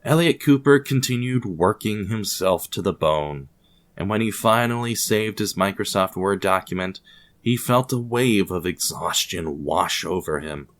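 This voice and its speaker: A man's voice: sits low, animated, measured pace, a bit bright. A man narrating scripted fiction in a wry, ominous, formal manner, addressing the listener directly.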